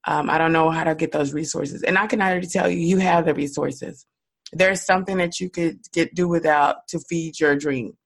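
A woman hosting her own podcast alone, her voice raspy and hoarse from a cold, talking in an upbeat, encouraging way straight to her listeners. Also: sits low, fairly even intonation, normal speed. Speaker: podcast host. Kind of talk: solo podcast monologue.

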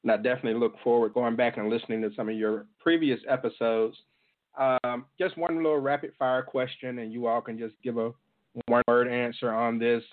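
A man's voice: mid-range voice, level tone, brisk. Muffled male interview host. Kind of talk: conversational interviewing. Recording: muffled, band-limited audio.

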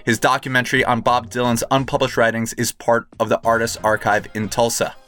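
Bright-voiced man hosting a podcast. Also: mid-range voice, flat delivery, fairly quick. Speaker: podcast host and interviewer. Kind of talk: scripted introduction, then conversational interviewing.

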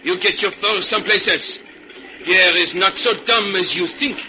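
Proud trapper, broken english, high voice, muffled old radio show. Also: male voice, moderate pace, sharp tone, slight background noise, exaggerated voice. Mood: stubborn, defiant. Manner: broken English, speaks of himself by name. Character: proud, stubborn male backwoods fur trapper Pierre. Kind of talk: old-time radio drama acting.

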